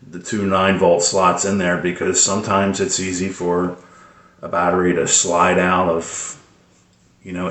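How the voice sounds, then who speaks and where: Deep-voiced man in an echoey room. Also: fairly bright tone. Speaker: radio hobbyist presenter. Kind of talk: casual unscripted product tips vlog.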